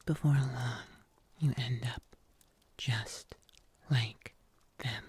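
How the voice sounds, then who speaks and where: A man playing a gentle, solicitous huntsman healer in an ASMR roleplay, in a soft whisper with careful pauses. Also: medium pitch, steady tone, slight background noise. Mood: soft concern. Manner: slow, hesitant, careful delivery with long pauses. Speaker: ASMR performer.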